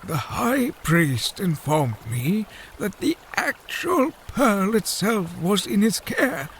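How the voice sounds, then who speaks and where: High-pitched, solemn old fish-folk advisor. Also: male voice, sing-song, a little hiss or hum, full character voice. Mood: grave. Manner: formal, deliberate, solemn storyteller. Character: old, grave, scholarly male fish-folk royal advisor. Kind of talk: fantasy audio drama acting.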